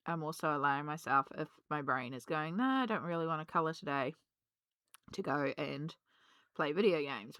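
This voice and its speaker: A woman, slightly hoarse from a cold, chatting casually and rambling with many ums while she colours. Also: low voice, sharp tone, dry recording, clean studio sound. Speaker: colouring vlogger.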